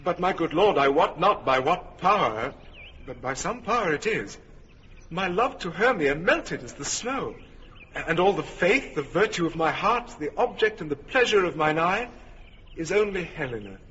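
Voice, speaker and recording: An earnest young lover. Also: male voice, on the high side, big pitch swings, normal speed, pushed and bright, slightly rough, big-room sound, exaggerated voice. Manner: earnest, heartfelt verse. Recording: muffled old radio recording.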